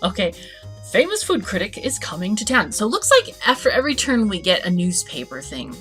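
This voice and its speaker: A woman's voice: medium pitch, very animated, brisk, fairly bright tone. A woman gives casual let's play commentary, playful and giggly, reading game text aloud with expression. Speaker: host of a gaming channel. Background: background game music.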